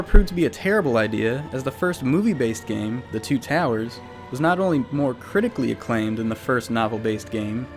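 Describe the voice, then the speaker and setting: A man hosting a video series, reading a scripted retrospective in a wry, casually joking way. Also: medium pitch. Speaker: video series host and narrator. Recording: music bed under voice. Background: background music.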